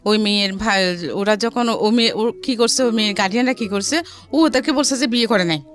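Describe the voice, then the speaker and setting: A middle-aged woman with a Bangladeshi accent telling her life story in a studio interview, haltingly and often repeating phrases. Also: medium pitch. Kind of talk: personal storytelling in a radio interview. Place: radio studio. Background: background music.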